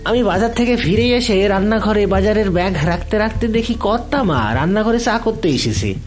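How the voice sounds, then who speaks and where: A man's voice: high voice, animated, soft background music. A man playing a meek, anxious forty-year-old male household cook in an audio drama, speaking deferentially. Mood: anxious, recounting. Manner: deferential, halting, testifying as a servant. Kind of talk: Bengali audio drama acting.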